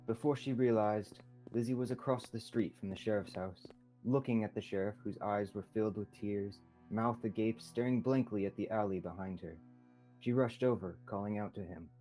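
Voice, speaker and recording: A man's voice: on the low side, moderate pace, rough recording. A young man reading scripted narration as the storyteller of a student radio drama. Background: soft music.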